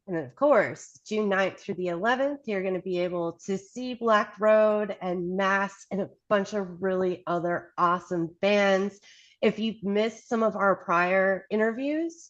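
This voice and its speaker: A woman's voice: mid-range voice, dull audio. A woman hosting an interview, upbeat and enthusiastic as she promotes the festival and its bands. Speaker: interviewer, host.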